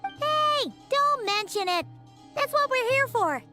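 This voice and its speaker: A woman's voice: extremely high pitch, expressive, slow pace, bright, forceful tone, faint music behind, full character voice. A woman voicing a small, perky, childlike fairy companion in a video game, chirpy and exclamatory. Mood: eager, reassuring. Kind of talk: English video game voice acting.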